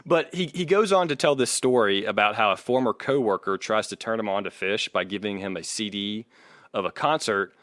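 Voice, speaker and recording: Man, lowish pitch, dry recording, podcast co-host, casual, opinionated, reading aloud and commenting. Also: brisk, fairly bright tone, no room sound.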